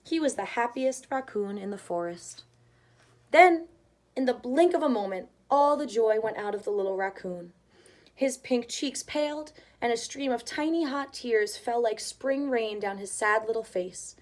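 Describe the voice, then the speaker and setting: A woman reading a picture book aloud to children as an expressive storyteller. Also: on the high side, unhurried.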